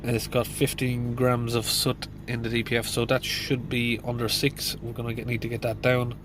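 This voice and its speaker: A man's voice: mid-range voice, completely flat delivery, normal speed, noisy background. A middle-aged man, a mechanic with a congested voice from hay fever, thinking aloud inside a van. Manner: plain, thinking aloud with fillers. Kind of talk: casual diagnostic walkthrough.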